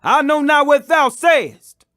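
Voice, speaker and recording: Man plays earnest male disciple, high voice, insistent, defensive, scripture audiobook. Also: lively intonation, slow pace, a bit bright, heavily acted. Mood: defensive denial.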